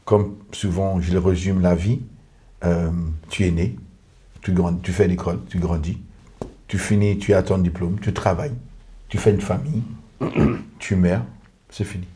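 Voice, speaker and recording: Earnest pastor. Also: male voice, low-pitched, steady tone, a little slow, pauses often, mellow. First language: English. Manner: earnest, repetitive, lists in short clauses. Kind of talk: testimony in a podcast conversation.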